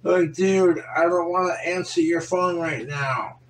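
A man's voice: on the high side, a little slow, rough recording. A man ranting casually in an exasperated, profane way on a livestream.

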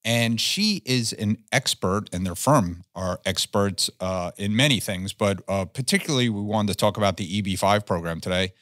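A man's voice: fairly low pitch, moderate pace, hardly any pauses, fairly bright tone, dry recording, clean studio sound. Man hosting a business podcast. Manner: friendly, rambling questions. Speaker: podcast host. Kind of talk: interview hosting.

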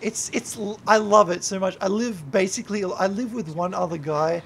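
A tipsy young man streams a game and rambles, cursing freely and breaking into yells at the screen. His voice sits high for a man and has a gravelly rasp. A faint hiss runs under it. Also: moderate pace. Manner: rambling and heavy swearing, yelling at the game. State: drunk. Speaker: YouTuber and streamer. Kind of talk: gameplay livestream commentary.

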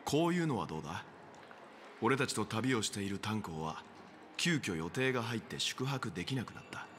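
Composed, lowish-voiced game companion. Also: male voice, very animated, normal speed, a bit bright, a little hiss or hum. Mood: calm, thoughtful. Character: composed, reasoning adult male traveling companion. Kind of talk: Japanese video game voice acting. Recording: game music behind.

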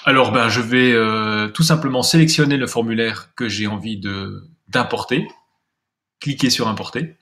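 A man's voice: medium pitch, moderate pace, a bit bright. A man presenting a screencast tutorial step by step, thinking aloud with some hesitation as he demonstrates.

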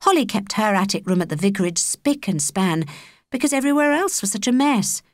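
A woman with a British accent reading a children's story as an expressive audiobook narrator. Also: mid-range voice, fairly quick, no room sound, clean studio sound.

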